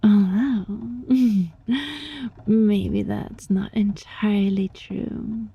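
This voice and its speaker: A woman voicing a sly, teasing, controlling yet gentle fox-spirit roommate in an ASMR roleplay, with a breathy voice that often drops into a whisper. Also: mid-range voice, fairly even intonation, dull audio, heavily acted. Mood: teasing, gloating. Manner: slow, teasing, softly menacing. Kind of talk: ASMR roleplay, scripted monologue addressed to listener.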